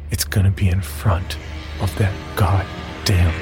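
Guy reading a scary story in first person, low gravelly voice, music behind him. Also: fairly even intonation, slow pace. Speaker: horror story narrator. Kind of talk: scripted first-person horror narration.

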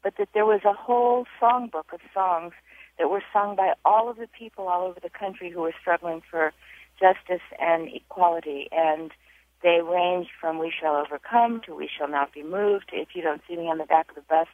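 A woman's voice: on the low side, moderate pace. Older lady caller, firm and emphatic, thin phone-line sound. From North Carolina. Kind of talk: voicemail message.

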